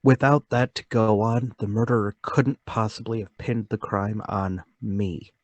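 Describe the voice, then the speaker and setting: A man playing an earnest, troubled but determined accused man in a radio play table read, speaking deliberately. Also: fairly low pitch, steady tone, darkish tone, no echo, muffled recording.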